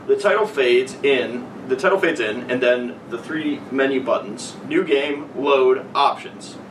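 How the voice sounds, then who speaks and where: A man's voice: mid-range voice, measured pace. A young man co-hosting a podcast, reading aloud and making casual asides. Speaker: podcast co-host. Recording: roomy sound, distant mic, noticeable noise.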